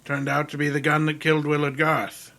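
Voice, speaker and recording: An actor playing a brusque, shrewd, imperious detective boss in old time radio style, speaking formally and dryly with probing questions. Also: male voice, a little high, completely flat delivery, fairly quick, vocal fry, pushed and bright. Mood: probing, cool.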